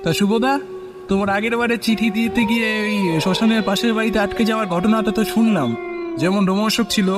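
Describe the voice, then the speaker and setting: A man's voice: high voice, steady tone, brisk, music in the background, close to an everyday voice. A man voicing an eager young male friend in a Bengali horror cartoon. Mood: excited, intrigued.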